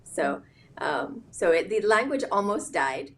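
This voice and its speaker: A woman's voice: lowish voice, very animated, unhurried, a bit bright, slight background noise. A woman from Hawaii, a business founder, talking as a guest in a casual interview, thoughtful and hesitant with many fillers.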